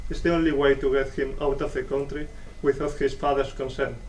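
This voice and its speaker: A man's voice: medium pitch, level tone, brisk, a little mellow, lightly acted. A man playing a patronizing, self-assured American embassy official in a dramatic reading of a stage play. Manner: patronizing, formal. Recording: distant microphone, faint room noise.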